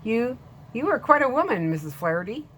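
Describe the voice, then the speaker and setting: A woman's voice: a little high, very animated, brisk, a bit bright, slight background noise, voice pushed up. A woman voicing a frightened male boatman in a storybook reading, speaking in a stammering, nervous way.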